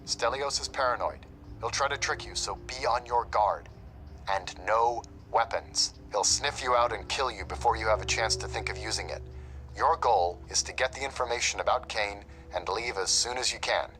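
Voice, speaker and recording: A man's voice: on the low side, moderate pace, sharp tone. A man playing a stern, businesslike commanding agent in a video game, giving orders in an authoritative, clipped, impatient manner. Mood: serious, instructing.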